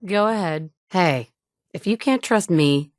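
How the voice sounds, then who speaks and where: A curt, tough wasteland survivor. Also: female voice, fairly low pitch, expressive, takes it slowly, no echo. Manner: curt, commanding, dry. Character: tough, blunt female post-war survivor. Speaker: player character. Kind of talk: game voice lines. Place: recording studio. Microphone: close studio mic.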